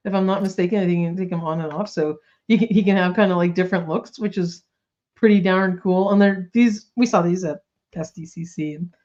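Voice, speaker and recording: A woman's voice: fairly low pitch, a little fast, darkish tone. Woman co-host, chatty and bubbly, a little under the weather, slightly dull lo-fi recording. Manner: chatty, bubbly, lots of likes and ums. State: coming down with a cold. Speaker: toy news podcast co-host. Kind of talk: casual chat.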